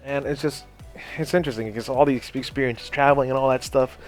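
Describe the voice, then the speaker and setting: A man's voice: mid-range voice, fairly even intonation, quick pace, faint music behind. A young man from Hawaii casually telling a personal story over gameplay, rambling with lots of "you know" and "like" and some swearing. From Hawaii, United States. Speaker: gaming channel host.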